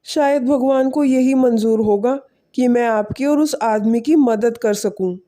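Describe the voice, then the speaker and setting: Woman playing a humble, high-voiced village man. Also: measured pace, dark tone, natural voice. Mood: humble, modest. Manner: polite, earnest. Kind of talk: animated moral storybook acting.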